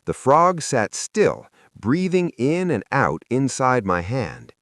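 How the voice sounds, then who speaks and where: A man narrates a children's story as if it happened to him. His delivery is expressive and animated, with pitch that swings widely around an average male register. The sound is dry, with little room in it. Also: slow pace, dry recording. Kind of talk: scripted first-person children's audiobook narration.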